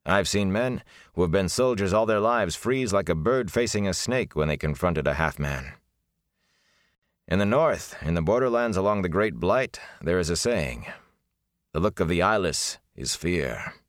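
A man voicing a stern, grim warrior bodyguard who speaks quietly and gruffly. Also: deep voice, animated, moderate pace, dry recording, studio quality. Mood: steady.

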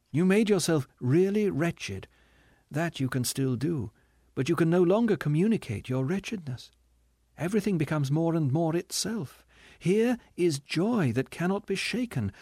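A man voicing a gentle, radiant woman who speaks in a soft, earnest, pleading way. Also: on the high side, gravelly, breathy edge, no echo, studio quality, pitched above the performer's own voice, heavily acted. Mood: calm. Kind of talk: audiobook character reading.

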